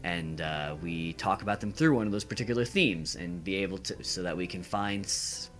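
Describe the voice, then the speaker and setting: A young man answering casually as a podcast guest, earnest and halting, repeating words. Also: low-pitched, a bit bright, faint music behind. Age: young adult.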